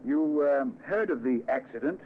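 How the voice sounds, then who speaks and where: An actor playing a businesslike police sergeant in an old radio drama, asking clipped questions with deliberate pauses. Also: male voice, mid-range voice, lively intonation, lightly acted. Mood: probing. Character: probing, businesslike male police detective sergeant. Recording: noisy narrow vintage radio recording.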